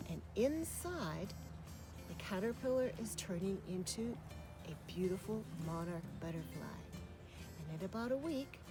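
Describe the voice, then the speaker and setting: A woman leads a children's story time with music playing behind her. Her pitch swings in a lively way as she reads in simple, expressive phrases. She steps out of the text to show things and ask young listeners questions. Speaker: naturalist reading a children's story. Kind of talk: children's story time read-aloud with show-and-tell asides.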